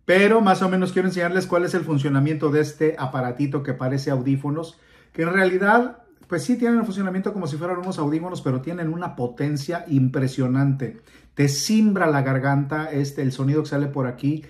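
A man's voice: medium pitch, a little mellow. A man hosting a gadget video, explaining to viewers in a casual, colloquial, rambling way that often breaks off and restarts. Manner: colloquial, rambling, self-interrupting. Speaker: host of a gadget unboxing video.

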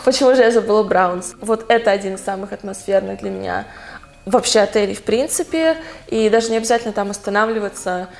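A woman's voice: medium pitch, fast, creaky, a little hiss or hum. A young woman answering viewer questions in a casual, chatty vlog, thinking aloud with frequent hesitations. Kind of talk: casual talking-head Q&A.

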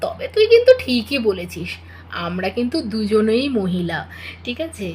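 A woman's voice: medium pitch, very animated, normal speed, a little mellow, slight background noise, close to an everyday voice. A woman voicing a knowing, teasing elder sister-in-law in a doll-play drama, wry and reassuring. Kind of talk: melodramatic doll-play dialogue.

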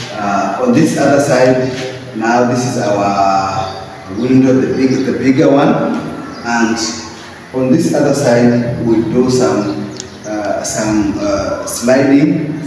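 A man in his thirties giving a casual vlog walk-through of a building site as a plumber, with halting, repetitive phrasing. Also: medium pitch, darkish tone. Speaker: vlogger plumber. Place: empty unfinished concrete rooms indoors. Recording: very echoey bare room, distant mic, noisy.

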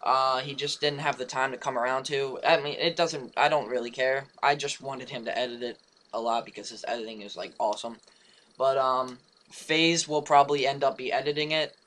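A young man with a raspy, just-woken voice, rambling through a casual update for his gaming channel with many fillers and restarts. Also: medium pitch, fairly even intonation, brisk, fairly bright tone. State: hoarse, just woke up. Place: home room beside his gaming setup.

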